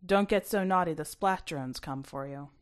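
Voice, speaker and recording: A woman's voice: sits low, moderate pace, lightly acted. A woman voicing a young farm boy in a story, a caring, teasing friend who talks casually.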